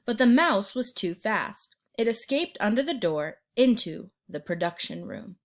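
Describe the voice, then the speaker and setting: A woman narrating a children's picture book as an expressive read-aloud storyteller. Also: mid-range voice. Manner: pauses to ask the listener questions and point out pictures.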